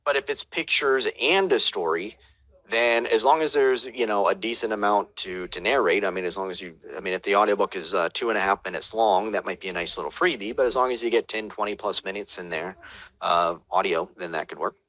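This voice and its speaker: A man's voice: fairly low pitch, brisk, fairly bright tone, poor audio. A man teaching audiobook production, reading out viewer questions and answering them in a casual, hesitant way with many fillers and restarts.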